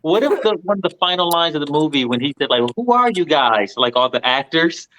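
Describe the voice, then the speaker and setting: A man's voice: on the high side, big pitch swings, a little fast, a bit bright, slight background noise. A man chatting casually as a panelist in a movie discussion, swearing now and then and acting out quotes. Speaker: film podcast panelist. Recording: dull lo-fi sound.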